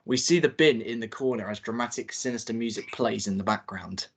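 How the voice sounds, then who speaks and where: A man reading out the stage directions at a table read in a plain, steady way. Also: lowish voice, a little fast, a little hiss or hum.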